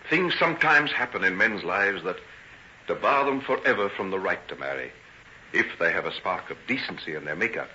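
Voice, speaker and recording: A dull old radio recording carries a guilt-ridden gentleman doctor. The voice is low and very forceful, swinging widely in pitch. The phrasing is formal, earnest and theatrical. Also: expressive, a little fast, a little hiss or hum, exaggerated voice. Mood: anguished, resigned. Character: tormented, guilt-ridden gentleman doctor, tender and self-sacrificing. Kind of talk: old-time radio drama acting.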